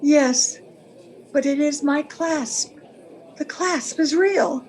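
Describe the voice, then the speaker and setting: An actress playing a sentimental, lovelorn married woman in old-time radio melodrama, speaking earnestly in halting phrases. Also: high-pitched, darkish tone, poor audio. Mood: anxious.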